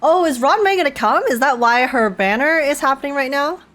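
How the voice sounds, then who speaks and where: A woman streamer giving a casual live reaction to a game story, expressive and slangy, often repeating phrases for emphasis. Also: high voice, fairly quick. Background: game music and dialogue.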